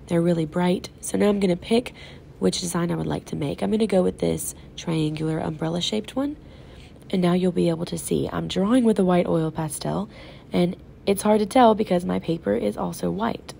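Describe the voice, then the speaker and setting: A woman's voice: lowish voice, fairly quick, vocal fry, mellow, a little hiss or hum. A woman art teacher, sick and stuffed up, patiently talking young kids through a painting demo as she works. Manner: patient, instructive, talks while working. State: sick, congested and sore-throated. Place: classroom table. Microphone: off-camera voice over an overhead tabletop camera.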